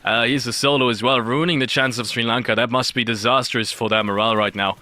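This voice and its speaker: Bright, crisp male esports commentator. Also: mid-range voice, steady tone, quick pace, dry recording. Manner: emphatic, repeats phrases for effect. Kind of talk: live game commentary.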